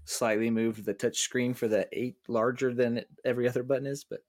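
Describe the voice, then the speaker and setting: A man's voice: mid-range voice, level tone, a little fast, a little mellow. A man, a fighter pilot in a casual podcast chat, talking in a wry, laid-back way.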